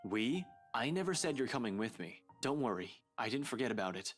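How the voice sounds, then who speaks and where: A man's voice: mid-range voice, sing-song, a little fast, natural voice. A man voicing a guarded young male detective with dry, teasing humor in a visual novel game. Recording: game dialogue with loud backing music.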